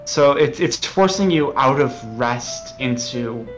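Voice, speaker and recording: A man's voice: medium pitch, slow, lo-fi sound. A man explaining his game as its developer, earnest and hesitant, often stumbling and repeating words. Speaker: game developer being interviewed. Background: music.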